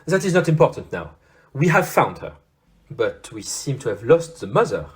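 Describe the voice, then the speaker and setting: An actor playing a courteous, shrewd foreign detective in an audio play, speaking formally with French exclamations. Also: male voice, medium pitch, sing-song, moderate pace, lightly acted. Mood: courteous, assured.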